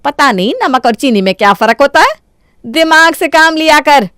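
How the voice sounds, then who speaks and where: A woman voicing a quick-tempered, berating Indian mother-in-law in a Hindi cartoon story. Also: sits high, expressive, a bit bright, dry recording, studio quality. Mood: angry scolding. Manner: harsh, exclamatory, berating.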